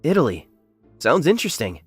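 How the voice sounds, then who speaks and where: Man playing an earnest young worker, animated delivery. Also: fairly high pitch, fairly quick, breathy edge, dry recording, soft background music, heavily acted. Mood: polite, earnest. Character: modest, plain-spoken young male factory worker, story's narrator. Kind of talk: English manga dub acting and first-person narration.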